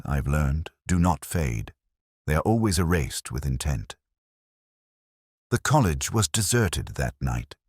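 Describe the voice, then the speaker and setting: A man playing a world-weary, brooding police inspector, narrating a mystery in a measured, literary and reflective way. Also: very deep voice, no room sound, studio quality, full character voice. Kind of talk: scripted first-person mystery narration.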